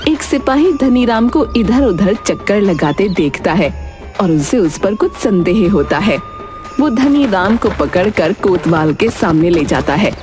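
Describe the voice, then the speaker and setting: A woman's voice: mid-range voice, expressive, measured pace, music in the background. A woman narrator reading a scripted moral tale in a storytelling style.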